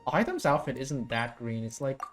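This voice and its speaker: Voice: medium pitch, big pitch swings, fairly quick, music in the background. A gaming creator giving casual reaction commentary, musing aloud and questioning things as they go.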